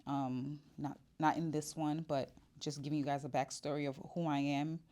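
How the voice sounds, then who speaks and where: Deep-voiced woman in her 40s, chatty vlog talk from her shop, lots of ums and restarts. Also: flat delivery, normal speed, mellow. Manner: chatty and candid, with filler words and restarts. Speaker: vlogger. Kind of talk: casual personal vlog.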